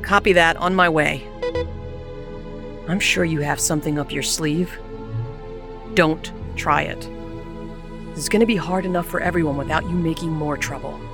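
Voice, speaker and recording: A woman acts a starship captain in a sci-fi audio drama. She is terse and commanding but weary, with a fairly low voice over music. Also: steady tone, normal speed, pauses often. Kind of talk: science fiction audio drama acting.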